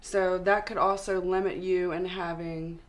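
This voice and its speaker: Young southern woman guesting on a studio interview, lowish voice, calm and explaining stuff, lapel mic. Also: measured pace. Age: young adult. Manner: steady, explanatory advice. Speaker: actress and model guest. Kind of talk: interview answers. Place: studio with city backdrop, seated on sofa.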